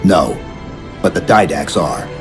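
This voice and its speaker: A man's voice: deep voice, a little slow. An actor playing a stoic, resolute male super-soldier in a video game, speaking in terse lines. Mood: calm, commanding. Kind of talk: video game cutscene acting. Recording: game cutscene, music behind voice.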